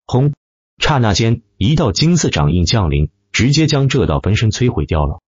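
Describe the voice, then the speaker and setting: Male audiobook narrator, animated dramatic delivery, lowish voice, close dry sound with dulled top end. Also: moderate pace, breathy edge, dry recording, dull audio. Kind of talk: scripted dramatic narration of fantasy web novel.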